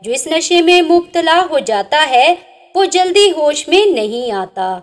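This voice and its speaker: Female narrator, high and melodic, for slow recitation of religious sayings with gently falling line endings. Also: big pitch swings. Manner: measured recitation, each saying ends on a dropping, softer note. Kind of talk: reading inspirational religious sayings over slides.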